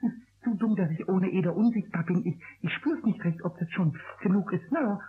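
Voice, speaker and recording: A man voicing a small, cheeky, stubborn kobold in a 1960s radio play, squeaky and childlike, stammering and repeating words. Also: a little fast, close to an everyday voice. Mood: busy, self-talking. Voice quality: squeaky, high. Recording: tinny lo-fi old radio recording.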